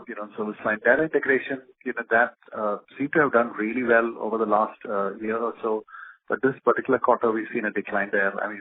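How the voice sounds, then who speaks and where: Analyst guy calling in, talks fast, hesitant wording, thin phone sound. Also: lowish voice. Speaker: analyst caller asking questions. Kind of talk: polite question on an earnings call. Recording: rough, narrow phone line.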